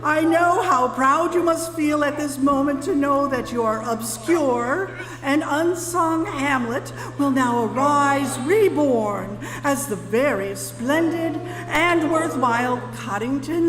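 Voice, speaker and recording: A self-important, condescending lady dignitary played by a woman on stage declaims a ceremonial speech very deliberately. It is live radio-play acting in a reverberant hall, with music behind. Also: sits high. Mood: pompous. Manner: pompous, declamatory.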